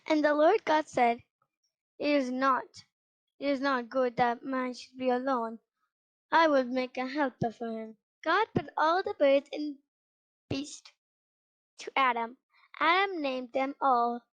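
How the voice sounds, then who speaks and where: A female reader with a high voice reading a children's Bible story aloud, halting now and then over words. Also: fairly bright tone, highs cut off.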